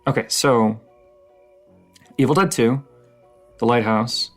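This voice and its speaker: A man playing a dorky, excitable partner who rambles like a horror-movie nerd in a casual, intimate roleplay, stammering and halting with enthusiasm. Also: mid-range voice, soft background music. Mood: excited, teasing.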